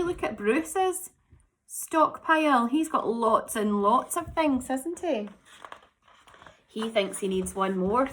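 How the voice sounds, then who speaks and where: A woman reading a picture book to children with expressive, dramatic emphasis and chatty asides. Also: fairly high pitch. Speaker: teacher reading a story to children.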